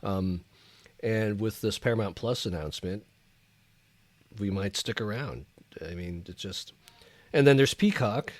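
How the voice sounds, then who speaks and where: A man's voice: fairly low pitch, a little slow, pauses often, no echo. A man from the American Midwest co-hosting a podcast in casual, conversational talk. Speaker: podcast co-host. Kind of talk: casual chat about entertainment news.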